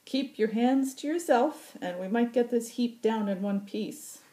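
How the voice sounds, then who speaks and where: A woman's voice: a little high, measured pace, mellow, voice pushed up, close to an everyday voice. A woman voicing a small, wiry old male pilot in an audiobook, cheeky, chatty and salty, forever teasing. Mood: gruffly teasing.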